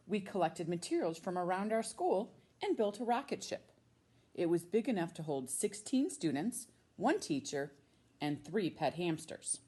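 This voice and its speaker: A woman with an American accent, a teacher reading a storybook aloud to children with expressive, drawn-out emphasis on key words. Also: lowish voice, husky. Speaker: middle school science teacher reading a children's book.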